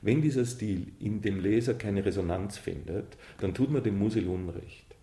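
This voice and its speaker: A man talks in a lowish, mellow voice, giving his views plainly and with emphasis. The room around him is echoey. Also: moderate pace. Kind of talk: casual opinionated interview talk.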